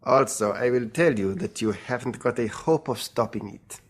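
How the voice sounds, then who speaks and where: An actor playing a shady, self-assured dealer, slyly polite and amused in a classic radio drama. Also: male voice, medium pitch, moderate pace, natural voice. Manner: smooth, bargaining, slyly polite.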